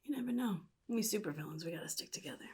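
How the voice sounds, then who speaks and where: A woman playing a sly, chaotic supervillain in a soft-spoken ASMR roleplay, often dropping into a breathy whisper with a casual, menacing drawl. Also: mid-range voice, lively intonation, racing. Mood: teasing, aloof. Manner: casual drawl, offhand, slyly threatening.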